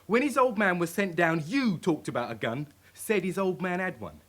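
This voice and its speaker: High-voiced, defiant lad. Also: male voice, lively intonation, moderate pace, fairly bright tone. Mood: angry, scornful. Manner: combative, blunt, slangy. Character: defiant, hot-headed London crime-family lad. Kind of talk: naturalistic British radio drama acting.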